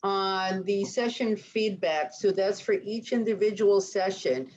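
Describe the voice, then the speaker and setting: A woman's voice: medium pitch, a little slow, darkish tone. A woman on a video call, carefully checking the pricing details aloud. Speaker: convention planning team member. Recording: dull audio with faint room noise.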